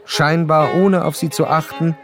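An actor playing an educated, curious gentleman who narrates a literary radio play in a measured, articulate way. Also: on the high side, fairly even intonation. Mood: observant, intrigued. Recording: studio radio play over faint violin music.

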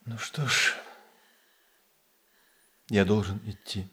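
A man's voice: lowish voice, fairly even intonation, takes it slowly, pushed and bright. An actor playing a timid, reluctant middle-aged circus man, hesitant and deferential, in a radio play. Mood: reluctant, uneasy.

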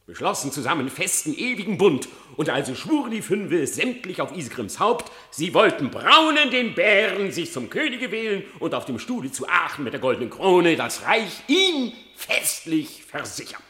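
A sly, deceitful fox of radio drama, posing as a pious penitent. The bright, forceful voice swings dramatically from hushed whispers to loud outcries, slippery and theatrical. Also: male voice, on the high side, very animated, normal speed, hardly any pauses. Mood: sly, dramatic storytelling. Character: cunning lying male fox feigning pious repentance. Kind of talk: dramatic verse radio play acting.

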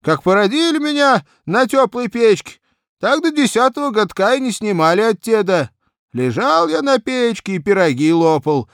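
Storybook young peasant cabman, acted by a man: pampered, rambling, speech, raised pitch with big swings. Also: a bit bright, clean tone, no room sound, clean studio sound. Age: young adult. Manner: rambling, folksy, plaintive. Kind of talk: audiobook character acting.

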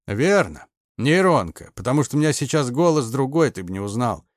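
A man's voice: medium pitch, big pitch swings, brisk, no room sound, natural voice. A menacing avenger played by a male narrator sneers and taunts in a mid-range voice. He sounds sure of his power over the people he threatens. The recording is dry and close, in audiobook style. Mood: gloating, taunting.

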